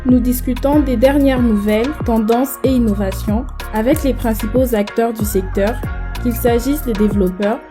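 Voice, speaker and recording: Female announcer, fairly high voice, polished scripted intro over a clear music bed. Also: moderate pace. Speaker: show announcer.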